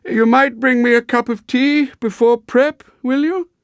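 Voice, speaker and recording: A man voicing a sprightly old English schoolmaster with a jerky, high-pitched delivery, in an audiobook. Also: fairly even intonation, a little slow, no room sound, studio quality. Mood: sprightly calling out.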